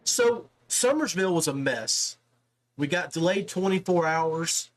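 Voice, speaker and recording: A man's voice: a little high, expressive, measured pace, poor audio. A man hosting a casual sports podcast interview, talking in a chummy, familiar way with guest and listeners.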